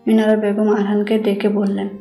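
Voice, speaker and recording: A woman with a Bangladeshi accent narrates a romantic story in a level, even tone. Her pitch sits in the middle and moves little. Soft music plays behind her voice. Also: fairly quick, vocal fry, husky.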